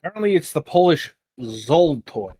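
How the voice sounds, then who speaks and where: A man hosting a live-streamed interview, asking questions in a measured, polite way. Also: a little high, sing-song, mellow, slightly rough. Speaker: podcast host, interviewer.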